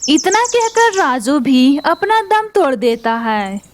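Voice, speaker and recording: Female cartoon narrator, measured, high register, faint hiss. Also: very animated.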